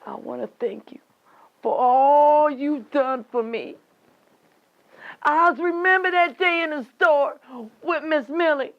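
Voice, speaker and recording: Woman acting a high, creaky, long-suffering Southerner. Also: slow pace, lo-fi sound. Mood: tearful, grateful. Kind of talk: dramatic stage monologue.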